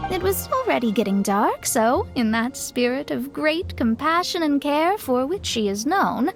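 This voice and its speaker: An actress playing a proud young astrologer in an anime game, with an exasperated, no-nonsense manner. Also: female voice, high-pitched. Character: proud, exasperated young astrologer girl, embarrassed straight-talker.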